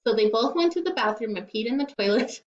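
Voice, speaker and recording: Lady teacher reading a kids book out loud, expressive, bit muffled and echoey. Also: on the high side, fast talker. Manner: expressive, with playful asides. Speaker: primary school teacher. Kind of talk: storybook read-aloud for children. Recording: echoey, slightly dull room recording.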